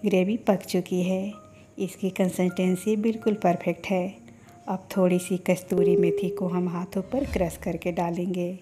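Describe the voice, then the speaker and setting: A woman hosting a cooking channel, giving calm step-by-step recipe instructions as a voice-over. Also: on the low side, normal speed, music in the background.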